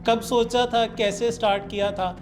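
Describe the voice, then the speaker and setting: A woman's voice: fairly high pitch, steady tone, normal speed, music in the background. A woman with a Pakistani accent hosting a podcast interview and addressing the guest. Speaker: podcast host. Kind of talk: interview questioning.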